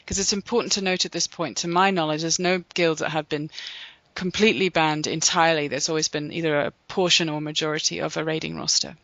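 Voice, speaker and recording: A podcast host reading a scripted recap in a steady, measured way, then introducing guests. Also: medium pitch, no room sound, lo-fi sound.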